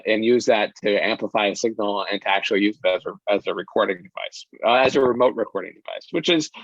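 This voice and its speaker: Halting man on dull lo-fi audio. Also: on the low side, no echo. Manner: halting, searches for words, many restarts. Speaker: director being interviewed. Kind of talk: casual interview talk. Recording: dull lo-fi sound.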